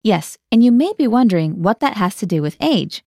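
A woman's voice: medium pitch, sing-song, a little fast, darkish tone, no echo, studio quality. A woman hosting a scripted language lesson, guiding the learner and translating each phrase into English.

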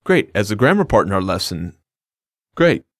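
A man's voice: fairly low pitch, sing-song, moderate pace, fairly bright tone, dry recording. Upbeat male language-lesson host. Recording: dry studio recording.

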